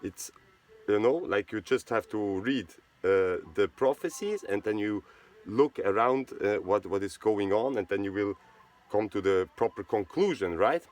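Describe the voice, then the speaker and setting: Low-voiced man talking haltingly. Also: expressive, darkish tone. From Switzerland. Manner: halting, searching for words, many fillers and restarts. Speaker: host of a charity video channel. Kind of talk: casual spoken monologue.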